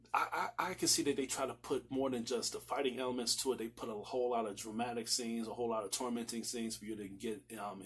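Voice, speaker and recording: A man's voice: medium pitch, a bit bright, husky. A man reviewing a series in a casual, sweary, emphatic way, rambling and repeating himself for stress. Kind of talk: casual, profanity-laced review commentary.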